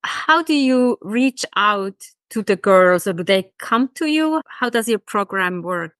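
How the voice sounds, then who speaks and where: A woman's voice: mid-range voice, expressive, measured pace, fairly bright tone, no echo, studio quality. A woman hosting a podcast, introducing guests and asking interview questions.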